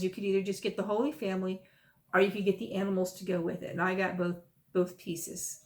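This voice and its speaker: A woman, chatting casually and rambling into folksy, self-amused asides in her stitching vlog. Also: medium pitch. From South Texas. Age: 50s. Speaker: stitching vlogger.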